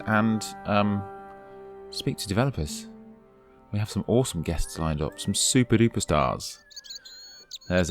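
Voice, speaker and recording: A very deep-voiced man talks his way through a point-and-click game, chatty and pushing his character along. His voice is getting hoarse. Soft music sits quietly behind him. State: losing his voice. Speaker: let's play host. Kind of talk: casual gameplay commentary.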